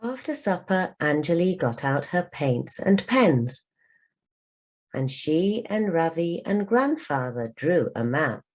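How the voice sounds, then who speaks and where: Slow, low-voiced woman reading a storybook. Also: very animated, darkish tone. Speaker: teacher reading a storybook aloud. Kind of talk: scripted storybook narration for learners.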